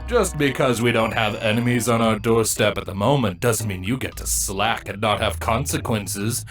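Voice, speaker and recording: A man playing a smug, gruff older-brother changeling, a sardonic insect creature, narrating his story in a dry, taunting way. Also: on the low side, moderate pace, fairly bright tone, faint music behind, lightly acted. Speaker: first-person narrator and character. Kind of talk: dramatic first-person story narration.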